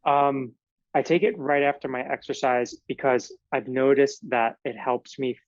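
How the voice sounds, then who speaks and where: A man's voice: mid-range voice, fairly even intonation, unhurried, no echo, lo-fi sound. Italian-born man, earnest podcast guest. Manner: earnest, explains in long hedged runs with fillers. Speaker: naturopathic physician guest. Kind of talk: casual podcast interview.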